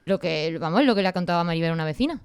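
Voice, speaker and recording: A woman's voice: fairly low pitch, lively intonation, very fast, smooth, dry recording. A woman with an accent from Spain co-hosting a radio show, in chatty dialogue and scripted introductions. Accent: Spanish, from Spain. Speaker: radio co-host.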